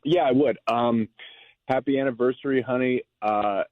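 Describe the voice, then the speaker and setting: A man talking in a phone conversation in a halting way, often repeating his words. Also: mid-range voice, no room sound, phone-quality sound. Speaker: prank call target.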